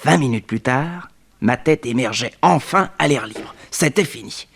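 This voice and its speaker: A man's voice: medium pitch, expressive, unhurried, creaky, a bit bright, breathy edge, exaggerated voice. A man playing a young detective who tells his adventure with suspense, in a 1960s radio serial. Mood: lively, suspenseful. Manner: storytelling, suspenseful, addressing listeners. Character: jaunty young male detective telling his own adventure.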